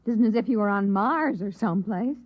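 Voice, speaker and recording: An actress playing a devoted, anxious American housewife in 1950s radio drama, solicitous and a little flustered. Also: female voice, mid-range voice, animated, fairly quick, lightly acted. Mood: gently chiding. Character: devoted, anxious young-to-middle-aged housewife. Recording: thin, tinny old radio recording.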